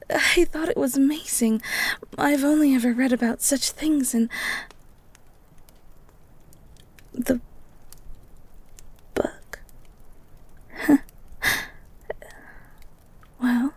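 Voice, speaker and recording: Shy, bookish young heroine played by a woman, romantic audio roleplay. Higher-pitched, breathy, stammering delivery. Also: full character voice. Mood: dreamy, shy. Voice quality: soft and breathy. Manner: stammering, halting, breathy half-whispers. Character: shy, flustered young bookish woman living in a beast's castle.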